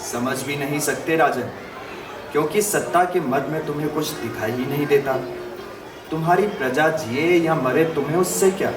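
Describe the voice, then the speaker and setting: A man's voice: fairly high pitch, moderate pace, close to an everyday voice. An actor playing a stern, reproachful great sage in a mythological drama, commanding and pointed in his oratory. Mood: scornful, accusing. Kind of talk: theatrical Hindi mythological audio drama. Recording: roomy distant playback with music behind.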